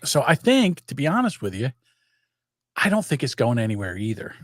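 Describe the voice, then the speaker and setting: A man's voice: medium pitch, normal speed, dry recording, clean studio sound. An older man, chatting casually and profanely as a podcast co-host, rambling through stories. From South Jersey. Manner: rambling, profane, animated storytelling. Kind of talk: casual chat.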